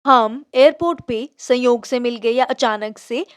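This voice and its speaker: A woman teaching English vocabulary, explaining each word in Hindi and reading example sentences slowly and deliberately. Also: fairly high pitch, smooth, no echo, studio quality.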